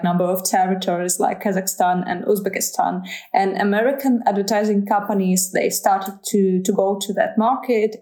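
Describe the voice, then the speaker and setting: A woman's voice: mid-range voice, a little mellow. A woman hosting an interview, asking questions attentively and sometimes searching for words. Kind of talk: interview conversation.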